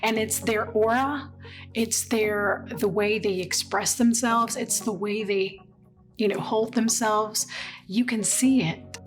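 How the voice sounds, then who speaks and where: A woman's voice: medium pitch, fairly even intonation, takes it slowly. A woman in her thirties from Dallas, Texas, speaking conversationally with casual fillers in an interview. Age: 30s. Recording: faint music underneath.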